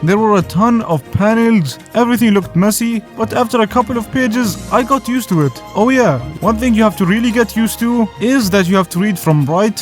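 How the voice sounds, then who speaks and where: A man's voice: sits high, a little fast, hardly any pauses. A man whose first language is Arabic, narrating a scripted review in a casual, joking way as the host. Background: faint music.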